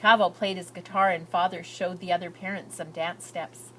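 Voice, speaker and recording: A woman's voice: on the low side, steady tone, normal speed, bright, forceful tone, slight background noise, rough recording. A woman voicing an earnest, shy, hopeful immigrant girl reading out her letters, with heartfelt, lilting storybook delivery.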